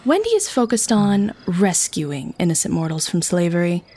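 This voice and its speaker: A woman's voice: on the low side, huge pitch swings, normal speed, warm tone, heavily acted. A woman playing a haughty, teasing vampire who keeps prisoners, in an ASMR-style audio drama. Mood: smug, matter-of-fact. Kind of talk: ASMR audio roleplay acting.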